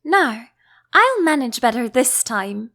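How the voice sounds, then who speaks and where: A woman's voice: high-pitched, big pitch swings, slow pace, bright, forceful tone, full character voice. A woman voicing a curious, polite, easily confused young girl with a questioning manner in an audiobook. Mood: curious, resolved. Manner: cautious, questioning.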